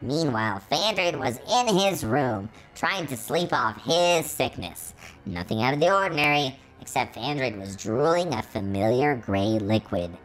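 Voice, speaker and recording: A woman performs a musical robot character hosting an online story reading. The voice sits low for a woman. Its delivery is theatrical and exclamatory, easily astonished and always reacting to the tale. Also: expressive, unhurried, low-quality recording. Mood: ominous. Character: excitable musical robot reading fan fiction about himself. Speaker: channel host.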